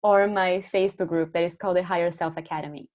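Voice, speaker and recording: A woman's voice: fairly low pitch, fairly even intonation, fast, a little mellow. A woman with a Brazilian accent, a podcast guest and energy coach, talking in an earnest, reflective way. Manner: earnest, reflective, spiritual vocabulary. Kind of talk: casual podcast conversation.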